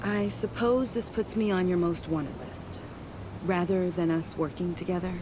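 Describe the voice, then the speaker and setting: A woman's voice: lowish voice, moderate pace, noisy background, lightly acted. A woman playing a young, guilt-ridden yet defiant former ally in a video game, earnest, confiding and emotional. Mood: resigned, regretful. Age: young adult. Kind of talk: video game dramatic acting.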